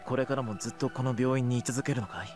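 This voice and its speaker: A man's voice: medium pitch, steady tone, very fast, music in the background, lightly acted. A man playing a troubled, lonely and earnest young medical student in a Japanese visual novel. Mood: timid.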